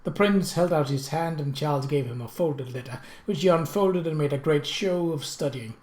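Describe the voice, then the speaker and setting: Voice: medium pitch, normal speed, a little mellow. A narrator reading a period mystery novel aloud as a scripted audiobook. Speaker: audiobook reader.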